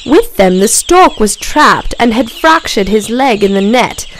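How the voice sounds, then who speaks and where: Lady reading a kids story, bit high, noisy bg with birds. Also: lively intonation, measured pace. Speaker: narrator. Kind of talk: children's story narration.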